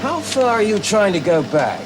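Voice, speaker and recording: Noisy vintage soundtrack, male scientist, animated intonation, exasperated, plain-spoken, 1970s TV drama. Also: high-pitched, normal speed. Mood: sceptical, probing. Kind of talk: 1970s British television drama acting.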